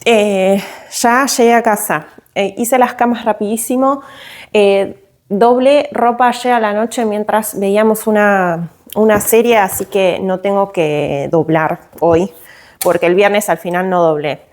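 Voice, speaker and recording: A woman's voice: medium pitch, unhurried, darkish tone. A woman in her thirties with an Argentine accent chatting casually to camera at home as a vlogger, full of fillers and restarts. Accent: Argentine Spanish. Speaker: vlogger and mother. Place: at home, indoors.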